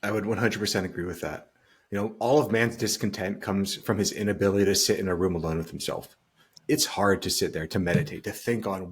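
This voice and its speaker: A man's voice: on the low side, slightly rough. A man co-hosting a podcast as a financial coach, talking earnestly and giving his opinions in a reflective, casual way.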